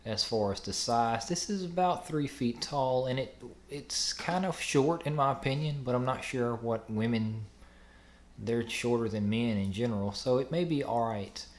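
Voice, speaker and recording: A man in his twenties hosting a review channel, calmly explaining step by step how to put furniture together. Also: medium pitch, moderate pace. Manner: plain, matter-of-fact explaining. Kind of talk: instructional product assembly voice-over.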